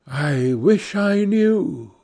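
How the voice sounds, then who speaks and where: Man reading as high-society duchess, coquettish, witty, arch, lifted pitch, audiobook character. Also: expressive, very slow, gravelly, warm tone, heavily acted. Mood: wistful, arch. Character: witty, coquettish aristocratic duchess, a lively woman.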